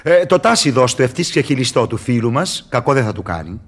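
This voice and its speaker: An actor in a radio drama playing a sly, mocking devil who wheedles and teases in a declamatory way. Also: male voice, mid-range voice, very animated. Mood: goading. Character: sly, mocking devil tempter and fixer, male. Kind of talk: theatrical radio drama acting.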